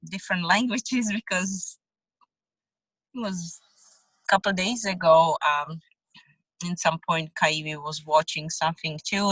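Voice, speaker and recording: A woman whose first language is Czech, speaking haltingly with many fillers and soft laughs in a video call book club discussion. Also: lowish voice, dry recording, low-quality recording. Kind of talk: casual group discussion.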